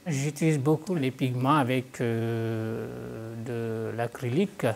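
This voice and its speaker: A man from talks as a visual artist in a documentary interview. He is earnest and reflective, pausing to find his words. His voice sits at a middling pitch, with soft guitar music faint behind him. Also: steady tone, creaky. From Guinea-Bissau.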